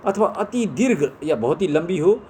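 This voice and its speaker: Higher-pitched man reading scripture, noisy recording. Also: sing-song, normal speed, a little mellow. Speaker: reader of scripture. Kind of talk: reading scripture aloud, with brief asides.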